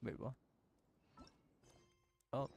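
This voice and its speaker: A man's voice: extremely slow, darkish tone, faint music behind. A man giving casual commentary while playing a video game, muttering puzzled asides to himself. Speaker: gaming streamer.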